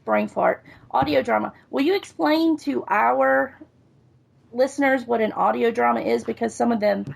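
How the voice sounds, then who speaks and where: A woman hosting a podcast interview, talking in a halting way and searching for her words. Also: a little high, gravelly. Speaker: podcast host. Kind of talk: casual interview.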